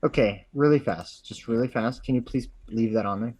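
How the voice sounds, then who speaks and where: A man with a husky voice chats casually as a co-host, slangy and profane, repeating phrases for emphasis. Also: medium pitch, moderate pace, darkish tone, dry recording. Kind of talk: casual opinionated chat.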